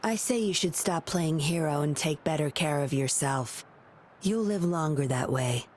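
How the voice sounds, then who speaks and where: Low-pitched, guarded female patroller. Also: measured pace, darkish tone. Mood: wry, measured. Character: guarded, teasing female patroller with a lonely streak. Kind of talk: video game voice acting. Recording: clear ambient noise behind voice.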